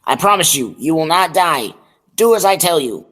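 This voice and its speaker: A teenage male student actor playing a steady, earnest prisoner plotting an escape in an old radio serial. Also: on the high side, normal speed. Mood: hushed urgency. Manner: earnest, instructing.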